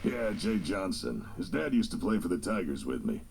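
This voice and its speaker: An actor playing a stern, middle-aged football coach in an audio drama, blunt and commanding. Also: male voice, on the low side, big pitch swings, moderate pace, creaky, darkish tone, slight background noise. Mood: gruff.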